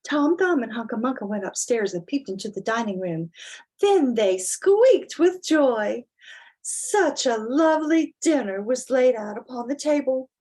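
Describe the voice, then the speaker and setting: Female storyteller, fairly high voice, theatrical picture-book delivery for children. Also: expressive, a little slow, darkish tone. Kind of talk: expressive dramatic storybook reading with casual asides.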